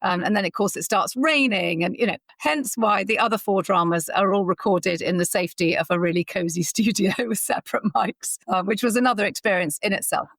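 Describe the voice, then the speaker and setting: A woman's voice: medium pitch, a little fast, few pauses, a bit bright, no echo. A woman talking enthusiastically and chattily as an interview guest. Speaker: audio drama producer, interview guest. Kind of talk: conversational interview.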